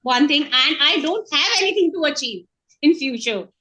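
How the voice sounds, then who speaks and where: A woman practicing in an online lesson, speaking hesitantly with halting phrases. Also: high-pitched, expressive, pushed and bright. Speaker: English learner. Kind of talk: one-on-one online English lesson.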